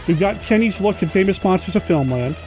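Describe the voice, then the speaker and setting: A male podcast host with a fairly high voice chats informally and with excitement. He addresses listeners directly while soft music plays underneath. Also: a little fast. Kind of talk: casual enthusiastic chat to listeners.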